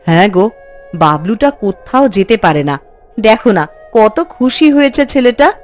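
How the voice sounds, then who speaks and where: A woman's voice: mid-range voice, very animated, a little slow, a little mellow, faint music behind. A woman voicing a doting city wife and mother visiting a village in a cartoon. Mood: delighted. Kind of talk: cartoon character dialogue.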